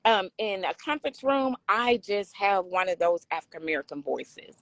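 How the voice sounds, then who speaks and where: A woman with a raspy voice hosting a casual talk discussion, often affirming her guest. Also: medium pitch, expressive, moderate pace, fairly bright tone, dry recording. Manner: affirming, frequent agreement sounds. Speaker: talk show host.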